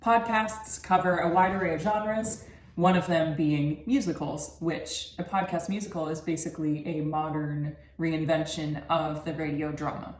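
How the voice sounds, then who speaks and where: Low-voiced young woman in an echoey room. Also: fairly even intonation, normal speed, a little mellow. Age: young adult. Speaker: student presenting thesis research. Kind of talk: prepared academic presentation. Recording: echoey room with background noise.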